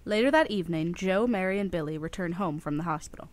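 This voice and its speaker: Radio play narrator: woman, slightly low voice, scripted scene descriptions. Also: lively intonation, quick pace.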